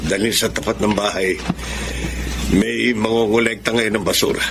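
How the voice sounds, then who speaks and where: A man's voice: on the low side, steady tone, moderate pace, gravelly, background noise. A man playing a caring, practical father in a radio drama, speaking in an advising, paternal way. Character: caring, practical middle-aged father giving advice. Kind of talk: Filipino radio drama acting.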